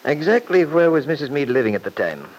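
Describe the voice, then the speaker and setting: An actor playing a shrewd, dryly sardonic attorney in an old radio serial, probing and deliberate. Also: male voice, fairly high pitch, very animated. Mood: brisk, questioning. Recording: noisy old radio broadcast recording.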